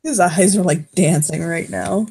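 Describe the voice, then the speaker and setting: Husky giggly woman playing a tabletop game. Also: fairly low pitch, darkish tone. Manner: giggly, quick asides, drops into whispers. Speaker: player. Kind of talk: tabletop roleplay, playing a cat character.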